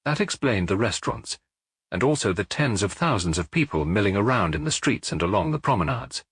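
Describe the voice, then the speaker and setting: Low, husky narrator with lively range. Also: male voice, fairly quick, no room sound. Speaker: audiobook narrator. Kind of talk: scripted narration of a detective novel.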